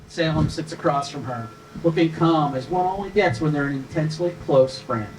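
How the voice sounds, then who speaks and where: Higher-voiced man narrating, far from mic. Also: normal speed. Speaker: stage direction reader. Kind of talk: scripted screenplay narration.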